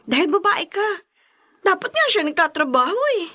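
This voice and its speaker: An actress playing a spirited, blunt young best friend in a Filipino radio drama, teasing and chiding. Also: female voice, very high-pitched, very animated, moderate pace, sharp tone, full character voice.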